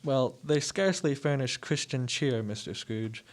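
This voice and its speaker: A man plays a polite gentleman collecting for charity in a radio drama. His voice is middle-pitched, and he speaks haltingly, with careful courtesy. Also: close to an everyday voice. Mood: polite, hesitant.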